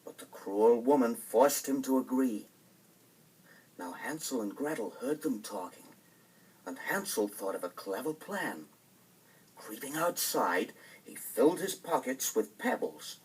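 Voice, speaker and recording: Male bedtime storyteller: lively, swooping delivery, slightly husky, on an old vinyl record with music. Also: mid-range voice, unhurried, recorded from a distance, low-quality recording. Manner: dramatic, expressive. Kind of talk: scripted children's bedtime story narration.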